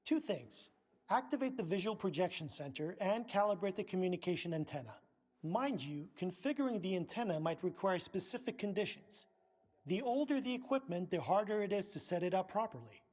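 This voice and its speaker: A man's voice: sits high, sing-song, fairly quick, darkish tone. A man playing a pompous scientist and research director in a video game, speaking formally, precisely and with condescension. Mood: measured, helpful. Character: pompous middle-aged male scientist, director of research center.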